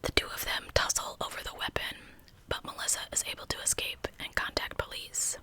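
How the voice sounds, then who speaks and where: A woman hosts a true crime ASMR story entirely in a hushed whisper. The sound is very bright and sharp, with almost no voiced tone. She retells the case conversationally, like informal storytelling. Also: a little fast.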